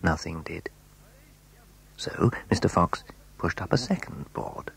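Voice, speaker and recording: A man narrating a children's story in a dramatic, expressive, suspenseful way, with a husky, breathy voice. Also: low-pitched, slow, very noisy. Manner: expressive, suspenseful, building excitement.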